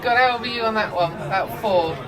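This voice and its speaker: A woman's voice: a little high, quick pace, music in the background. A middle-aged woman telling family stories casually, acting out bits of dialogue and swearing freely. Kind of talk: casual animated storytelling. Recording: busy public room chatter.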